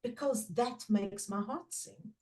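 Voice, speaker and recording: A middle-aged woman whose first language is Portuguese, a guest speaking in a thoughtful, measured way with frequent pauses. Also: medium pitch, a little mellow. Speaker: guest, trainer and former teacher. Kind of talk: reflective podcast conversation.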